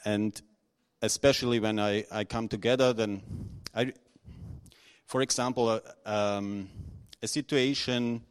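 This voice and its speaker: A middle-aged man from Austria, speaking unscripted to an audience, halting and nervous, with many restarts and fillers. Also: lowish voice, smooth. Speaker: conference speaker.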